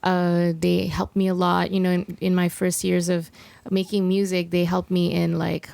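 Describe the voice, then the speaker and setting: A woman's voice: on the low side, monotone, normal speed, creaky, a little mellow, dry recording. A young woman from Malaysia, a singer chatting casually as an interview guest, filling her talk with "like" and "um". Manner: chatty, many fillers like "like" and "um". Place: recording studio.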